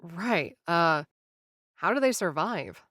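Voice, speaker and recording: A woman's voice: on the low side, animated, slow, fairly bright tone, no room sound, clean studio sound, heavily acted. A young woman voicing a sarcastic, nervous influencer who narrates her story straight to the listener in an audio drama.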